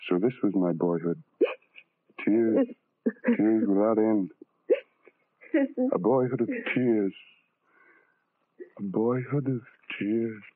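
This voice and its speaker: Low-voiced, tormented outcast, old radio. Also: male voice, slow pace, long pauses, dry recording. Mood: sorrowful. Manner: brooding, repetitive, intensely theatrical. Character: anguished, self-loathing, disfigured man brooding on suicide. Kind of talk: old-time radio horror monologue. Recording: dull, narrow old radio recording.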